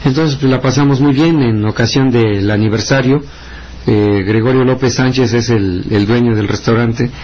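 A man's voice: mid-range voice, fairly even intonation, moderate pace. A man from Oaxaca, Mexico, with a Mexican accent and Mixtec as his first language, chatting as a radio guest in a rambling way, with many hesitant fillers. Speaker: guest, community radio presenter. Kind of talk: casual radio interview chat. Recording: muffled radio broadcast.

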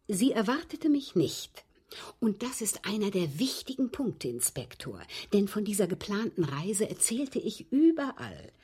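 A woman's voice: medium pitch, dark tone, husky, full character voice. A woman playing a genteel, unruffled widowed lady in a radio drama, politely and precisely confessing with cool amusement. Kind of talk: German radio drama acting.

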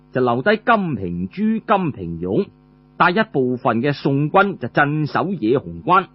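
A man's voice: fairly high pitch, dull audio. A man with a Cantonese accent telling a traditional tale, conversational and dramatic, drawing out his particles. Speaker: storyteller. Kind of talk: animated traditional storytelling narration.

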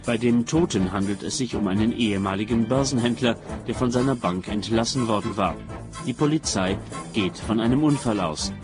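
Male announcer for radio drama intros and recaps: lowish voice, scripted delivery, clear music bed. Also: a little fast.

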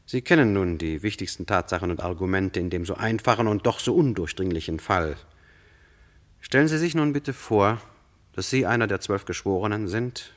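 A deep-voiced male narrator played by an actor tells a true crime radio play. His delivery is sober and measured, explaining things directly to the listener. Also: expressive, lightly acted.

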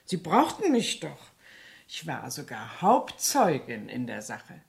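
A woman's voice: rumbling low, wildly swooping pitch, slow pace, vocal fry, full character voice. An actress in a German radio drama playing a talkative, gullible French cleaning woman who relishes dramatic gossip. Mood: eager storytelling.

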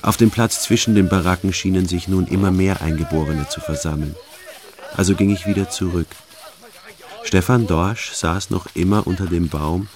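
Man as a very deep-voiced, sober soldier narrator. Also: normal speed, crowd noise, close to an everyday voice. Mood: sober recounting. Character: soldier soberly recounting a jungle expedition. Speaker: first-person narrator. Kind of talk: scripted radio drama narration.

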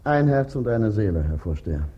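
An actor playing a deferential, ingratiating gentleman writer and informer in a radio play, polite and measured. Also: fairly low pitch, big pitch swings, muffled recording.

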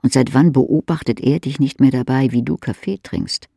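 An actor playing an intimate inner-voice narrator in a radio drama, speaking in an insistent, pointed way. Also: male voice, mid-range voice, moderate pace, gravelly, warm tone, husky, dry recording, studio quality. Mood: needling. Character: intimate needling inner-voice narrator addressing a weary wife.

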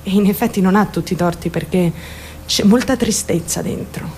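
A woman's voice: mid-range voice, normal speed, a little mellow, a little hiss or hum, close to an everyday voice. A woman acting a theatrical radio monologue as a troubled young woman, defensive and candid, rambling and addressing her listeners directly. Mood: wistful, rambling. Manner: confiding, addresses listeners directly with rhetorical questions. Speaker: actor.

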